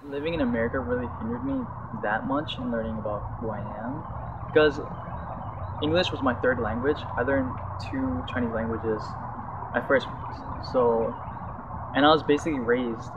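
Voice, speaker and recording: A man answering interview questions in a hesitant, searching way. Loud traffic and engine noise sit behind his voice, and the recording sounds a little thin. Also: mid-range voice, animated, moderate pace, muffled recording. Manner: hesitant, filler-heavy.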